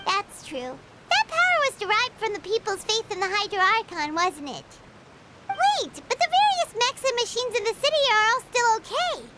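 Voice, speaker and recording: A woman voicing a tiny, childlike fairy companion in a video game, with a squeaky voice and a chirpy, inquisitive, blunt manner. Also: sing-song, normal speed, heavy background noise. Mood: musing, impressed. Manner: refers to self in third person, exclaiming, inquisitive. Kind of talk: video game character dialogue. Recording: game dialogue over loud music.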